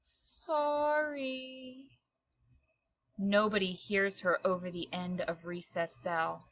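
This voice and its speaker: A woman storyteller reading a children's picture book aloud in expressive narration, with dramatic, deliberate phrasing. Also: lowish voice, no echo, dull audio.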